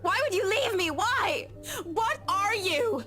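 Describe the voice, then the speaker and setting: A woman's voice: very high-pitched, expressive, slow, bright, forceful tone, soft background music, heavily acted. An actress playing a menacing female ghost in a horror game, posing as a lonely friend with plaintive, taunting pleas. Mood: accusing. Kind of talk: horror visual novel game voice acting.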